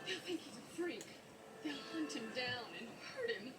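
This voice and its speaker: High-voiced, worried cartoon wife. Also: female voice, big pitch swings, moderate pace. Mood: anxious, pleading. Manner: anxious, pleading. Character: scientist's worried, protective wife and mother. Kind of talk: animated series voice acting. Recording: cartoon soundtrack with loud music, distant.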